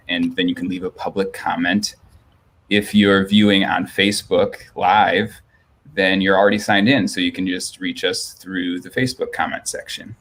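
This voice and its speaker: A man's voice: deep voice, a bit bright. A man hosting for a museum, reading a scripted introduction with announcements. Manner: reads announcements steadily. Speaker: museum host.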